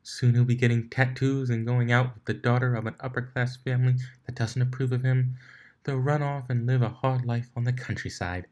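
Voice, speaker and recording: A genteel female unicorn with a taste for gossip and drama, as a man reads the part. The pitch sits above a man's usual talk, and the delivery is playful, coy and affected, in storybook style. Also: fairly even intonation, brisk, a little mellow, lo-fi sound, lightly acted. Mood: wistful. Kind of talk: fan fiction reading with character voices.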